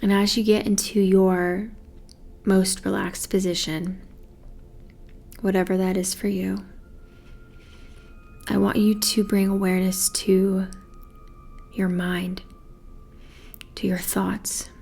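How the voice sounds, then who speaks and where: Female meditation guide: unhurried, soothing, very steady pitch, breath-soft phrase endings. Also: gravelly, husky.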